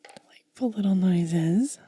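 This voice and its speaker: Very slow, breathy whispering woman. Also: on the low side, no room sound. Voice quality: breathy, mostly unvoiced whisper. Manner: gentle, unhurried, pausing between short phrases. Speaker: ASMR host. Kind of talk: ASMR unboxing, hushed and soft-spoken. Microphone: close ASMR microphone.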